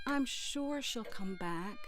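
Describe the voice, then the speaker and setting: A woman's voice: mid-range voice, lively intonation, slow, over music. An actress playing a protective, defiant mother who works as a nurse in a horror audio drama, earnest and emotional. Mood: concerned.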